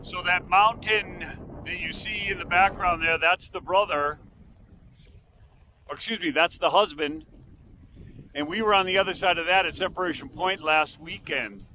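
Older guy slowly explaining the view on a hike, bit high voice, faint wind on the cam mic. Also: sharp tone, low-quality recording. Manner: unhurried, explanatory, pointing out landmarks. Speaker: hiking vlogger. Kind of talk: casual outdoor narration. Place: windy volcanic ridge outdoors.

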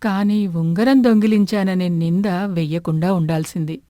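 A woman playing a forgiving elderly village mother-in-law in an animated moral story, gentle and a little reproachful. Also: lowish voice, moderate pace, no echo, studio quality, close to an everyday voice. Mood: forgiving, hurt.

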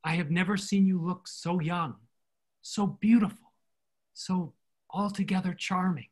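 A man's voice: high-pitched, fairly even intonation, unhurried. A middle-aged man playing a devoted, romantic army captain in a stage comedy, earnest and theatrical. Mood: adoring. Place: indoor room, recorded over video call.

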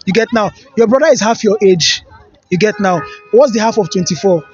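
A man's voice: sits high, lively intonation, brisk, dull audio, soft background music. A young man with a Nigerian accent playfully quizzing people in a comedic outdoor street interview, mixing in pidgin. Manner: teasing, playful questioning with pidgin asides. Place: outdoors on a lawn by trees. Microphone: handheld mic.

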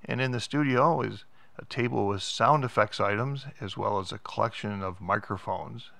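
A man's voice: on the low side, moderate pace, vocal fry, a bit bright, dry recording. A middle-aged American man giving a casual narrated tour as a vlog host.

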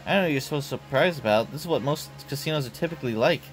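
A man voicing a smug, ageless young woman in a game who talks down to others in a slow, condescending drawl. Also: fairly high pitch, faint music behind, lightly acted, low-quality recording. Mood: dismissive.

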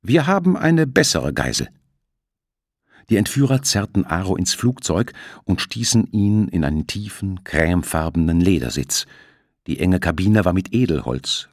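A man narrating a thriller novel as an audiobook, with measured, dramatic storytelling. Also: sits low, expressive, darkish tone, no echo, clean studio sound.

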